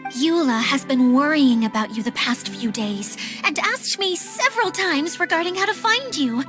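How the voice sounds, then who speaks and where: An actress playing a sweet, bubbly little mascot girl who is eager to help, in an animated game. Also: high voice, moderate pace, music in the background. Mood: happy.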